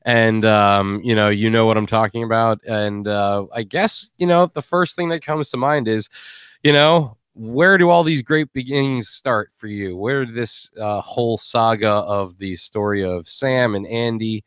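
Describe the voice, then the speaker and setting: A man with a lowish, clear and resonant voice hosts a casual stream. He rambles along and jokes as he goes. The sound is close and dry. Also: a little slow, fairly bright tone, no room sound. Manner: rambling, joking. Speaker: host. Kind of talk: casual live stream chat.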